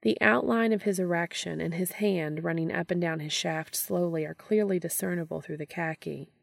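A woman's voice: fairly low pitch, steady tone, normal speed, gravelly, no echo. A woman narrating a scripted piece of fiction as a story reader.

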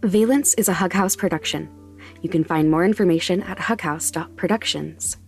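Lower-pitched woman reading podcast credits. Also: moderate pace. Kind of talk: scripted announcement. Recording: music underneath.

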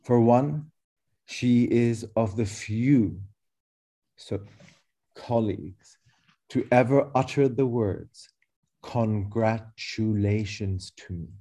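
A man whose first language is Arabic, reading a measured, lyrical spoken essay about a work he is presenting. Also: lowish voice, dark tone. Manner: measured, deliberate, lyrical phrasing. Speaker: artist presenting a sound work.

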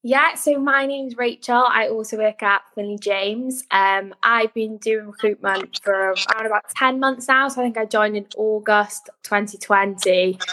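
A woman's voice: mid-range voice, bright, forceful tone, rough recording. Chatty young Welsh woman. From Wales. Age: 20s. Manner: chatty and rambling, lots of fillers. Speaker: guest. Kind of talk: casual interview chat.

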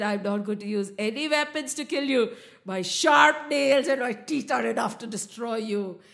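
A woman's voice: on the high side, pushed and bright. A woman voicing a furious half-lion, half-man god who makes commanding, threatening, vengeful declarations in a storytelling performance.

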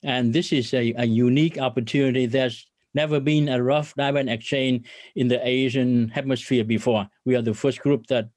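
A middle-aged man from Vietnam, a business guest on a podcast interview, speaking earnestly with many filler pauses and religious phrasing. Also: mid-range voice, steady tone, a little mellow, no echo, clean studio sound.

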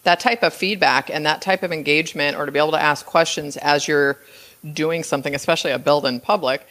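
A woman's voice: deep voice, steady tone, fast, a bit bright. A woman in her fifties hosting a podcast interview, chatty and enthusiastic with frequent restarts. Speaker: podcast host. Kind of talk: casual interview chat.

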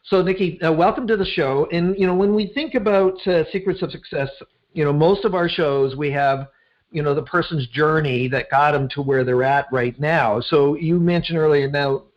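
Rambling male podcast host, slightly high. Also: normal speed, highs cut off. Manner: rambling, with frequent fillers and restarts. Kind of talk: podcast interview.

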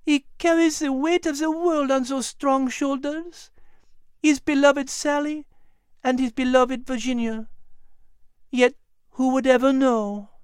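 A woman voicing a gentle, caring French female storybook animal with a French accent, speaking tenderly and earnestly. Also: sits high, steady tone, takes it slowly, dry recording, pitched above the performer's own voice. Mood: tender concern.

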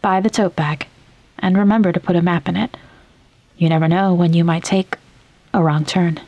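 A woman reads as a podcast host in a fairly low voice with very level intonation. Her delivery is scripted, measured and clearly enunciated. The sound is dry, with little room in it. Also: fairly even intonation, mellow, dry recording, highs cut off. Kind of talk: scripted narration, podcast outro and credits.

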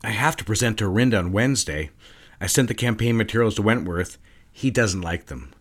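A man's voice: lowish voice, lively intonation, brisk. An actor playing a stern, controlling male advertising executive, clipped and commanding. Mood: firm. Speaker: boss at an advertising firm. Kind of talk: audio drama acting.

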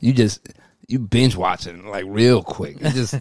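A man's voice: fairly low pitch, unhurried, a little mellow, dry recording, studio quality. A man co-hosting a casual TV chat, talking in street slang with frequent swearing. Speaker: podcast co-host. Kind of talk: casual chat about TV shows.

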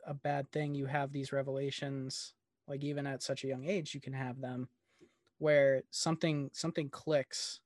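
A man's voice: fairly high pitch, no echo, clean studio sound. A man hosting a casual interview, rambling and encouraging with plenty of filler words. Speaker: interviewer host.

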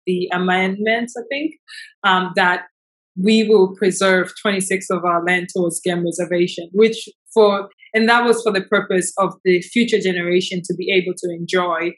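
A woman's voice: lowish voice, steady tone, normal speed, rough recording. A woman from Tanzania giving enthusiastic, effusive answers in a conversational interview. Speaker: interview guest.

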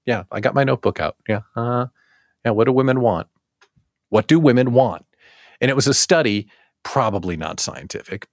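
A man hosting a casual live show, talking straight to viewers in an animated, theatrical way with exaggerated emphasis. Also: medium pitch, no echo, clean studio sound. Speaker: host of a daily live show for small business owners.

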